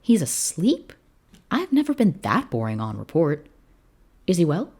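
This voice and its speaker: A reader voicing a cheeky, dutiful young Roman officer in a story, brisk, formal and chatty. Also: mid-range voice, wildly swooping pitch, a little mellow, breathy edge, voice pushed up, exaggerated voice. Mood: concerned.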